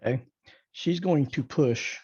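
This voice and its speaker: A man's voice: mid-range voice, a little slow, warm tone, husky, no echo, dull audio. A man talking casually as a player in a tabletop game, heard over voice-chat audio.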